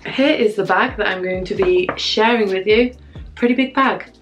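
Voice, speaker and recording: A young woman vlogger with a husky voice from a cold, chatting upbeat to viewers while unboxing a handbag in a room at home. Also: mid-range voice, normal speed, lo-fi sound, soft background music. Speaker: fashion vlogger. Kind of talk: chatty product unboxing review. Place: home room with framed prints, indoors.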